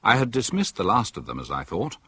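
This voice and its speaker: A man's voice: on the low side, wildly swooping pitch, fairly quick, bright, forceful tone, slightly rough, full character voice. A man playing a reflective British country doctor who narrates his own story in a radio drama.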